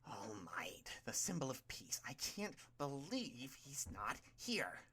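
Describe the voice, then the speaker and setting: A man's voice: medium pitch, wildly swooping pitch, takes it slowly, sharp tone, husky, pitched above the performer's own voice, exaggerated voice. A man voicing a sullen, menacing young villain leader in an audiobook, muttering and grumbling.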